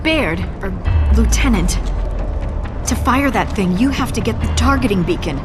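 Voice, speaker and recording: A woman's voice: fairly high pitch, animated, moderate pace, close to an everyday voice. A woman playing a determined young army cadet in a video game, explaining things earnestly and precisely. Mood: measured. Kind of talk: video game cutscene acting. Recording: clear music bed behind voice.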